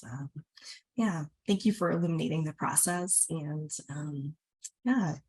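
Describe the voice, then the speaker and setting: A woman's voice: low voice, unhurried, darkish tone. A woman moderating a literary event in an informal, encouraging way. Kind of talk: casual literary event hosting.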